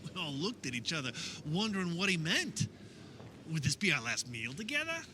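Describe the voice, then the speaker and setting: Casting: male actor as an earnest fisherman disciple, high voice with wide swings, wistful radio-theater monologue, noisy recording. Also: sing-song. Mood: reflective, wondering. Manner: conversational, wistful storytelling with wondering pauses. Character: earnest fisherman disciple of Jesus, fondly recounting memories. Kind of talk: dramatic first-person monologue in religious radio theater.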